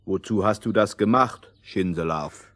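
An actor playing a gruff, slow-witted burly lumberjack who talks bluntly and muddles words in a fairy-tale audio play. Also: male voice, fairly low pitch, fairly bright tone. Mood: blunt, curious.

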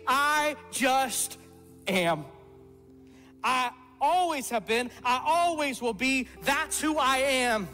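A middle-aged man preaching a sermon as pastor, emphatic and repeating words for stress. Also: very high voice. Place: church stage before a congregation. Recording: reverberant hall with soft music.

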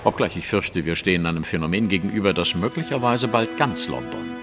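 An actor playing a shrewd, self-assured English detective in an audio drama, speaking precisely and eloquently in a lecturing manner. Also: male voice, deep voice, lively intonation, fairly quick, a bit bright, faint music behind. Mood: composed, grave.

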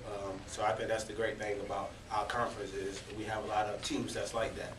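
A college basketball player in his early twenties answers press conference questions in an earnest, hesitant way with frequent pauses. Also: lowish voice, little pitch movement. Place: indoor press conference room. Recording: roomy, distant, noisy press room recording.

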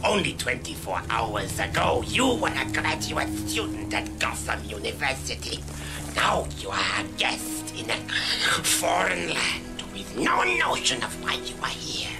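Ancient crooked dwarf mentor, gravelly and slow, stern taunting delivery with big swings, radio drama under loud music. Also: male voice, medium pitch, wildly swooping pitch, full character voice. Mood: solemn, commanding. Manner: slow, theatrical, commanding.

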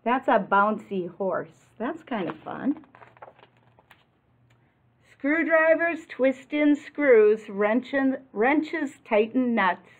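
An American woman in her sixties reads a picture book aloud like a teacher, expressively and deliberately, adding explanations and questions for young listeners. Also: fairly high pitch, animated. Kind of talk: children's read-aloud with explanatory asides. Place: classroom, indoors. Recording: muffled, lo-fi webcam-like sound.

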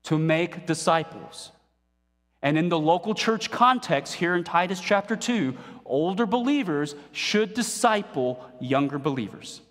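A man in his forties preaching a sermon from a pulpit, animated and playful, asking the congregation questions. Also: on the high side, unhurried. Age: 40s. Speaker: pastor. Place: church stage at a pulpit, indoors. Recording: long reverb of a hall.

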